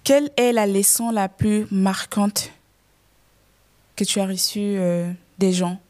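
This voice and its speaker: Casting: podcast host, mid-range voice, brief prompts, lots of quiet listening noises. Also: mid-range voice, slow pace. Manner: brief listening backchannels, asks short questions. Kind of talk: casual interview.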